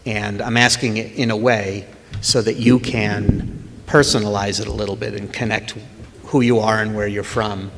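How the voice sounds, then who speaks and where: A man moderating an industry panel, talking in a halting way with many fillers. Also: on the low side, little pitch movement, vocal fry. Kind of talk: conference panel talk. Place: conference hall. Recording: reverberant hall, faint room noise.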